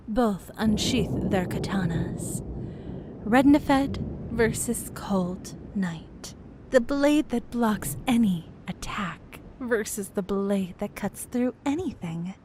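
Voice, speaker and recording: A woman's voice: a little high, darkish tone, slight background noise, poor audio. A woman narrating a fantasy audio drama in a dramatic, teasing storyteller manner.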